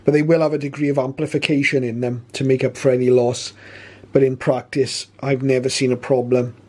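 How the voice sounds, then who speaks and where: Mellow-voiced man explaining radio gear. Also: medium pitch, flat delivery, fairly quick, husky. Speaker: radio hobby channel host. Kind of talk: casual explanatory product talk. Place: small indoor home radio room.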